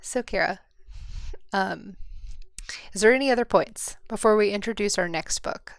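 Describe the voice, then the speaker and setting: A woman's voice: mid-range voice, normal speed, creaky, no room sound, studio quality. An American woman co-hosting a podcast, chatting casually about books and thinking aloud in an informal, tentative way. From Midwestern United States. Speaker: podcast co-host. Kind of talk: casual book chat.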